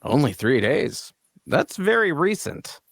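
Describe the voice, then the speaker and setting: A man's voice: fairly high pitch, very animated, slow, creaky, dry recording, clean studio sound, exaggerated voice. A man playing a shrewd, blunt adventurer for hire in a fantasy audio drama, probing and matter-of-fact. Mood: probing, concerned. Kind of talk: audio drama table-read dialogue.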